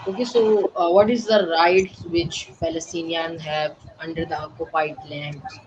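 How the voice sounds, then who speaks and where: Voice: medium pitch, steady tone, a little slow, lo-fi sound, a little hiss or hum. An interviewer asks the guest a brief question. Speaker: host.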